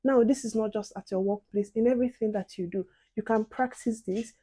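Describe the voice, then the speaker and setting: A soft-spoken young woman chatting casually to viewers while doing her makeup. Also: a little high, fairly even intonation, fairly quick, slightly rough, no room sound. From outside the UK. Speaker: beauty and advice vlogger.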